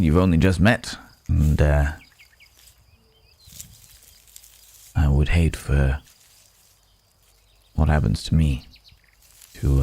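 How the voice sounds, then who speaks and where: A man's voice: rumbling low, vocal fry, mellow, breathy edge, exaggerated voice. A man playing a courteous, guarded werewolf from the city in an intimate ASMR roleplay, speaking in hesitant, formal, polite phrases.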